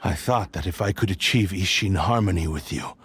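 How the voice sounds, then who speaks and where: A man's voice: low voice, a little fast, fairly bright tone, slightly rough, full character voice. A man playing the sorrowful spirit of a cursed old sword in a video game, weary, formal and brooding. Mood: weary, admiring. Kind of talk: scripted game voice acting.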